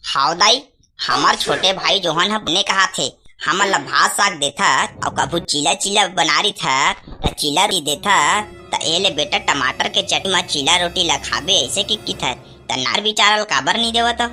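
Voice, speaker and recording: A woman's voice: fairly low pitch, lively intonation, measured pace, pushed and bright, soft background music. A woman voicing an earnest, silly village boy in a cartoon comedy, with a Chhattisgarhi accent. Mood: earnest arguing.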